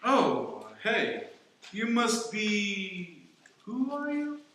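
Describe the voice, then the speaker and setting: A man plays a puzzled young audio technician in a radio play. His high voice moves slowly but with lively swings. He sounds distant in a very echoey room. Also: heavily acted. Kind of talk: scripted radio play acting. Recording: echoey room, distant mic, faint noise.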